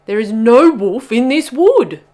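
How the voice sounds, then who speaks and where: A woman voicing a bossy, impatient male teddy bear in a children's storybook, brisk, snappy and self-assured. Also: lowish voice, very animated, dark tone, slightly rough, full character voice. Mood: snappy, scolding. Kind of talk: children's storybook read-aloud.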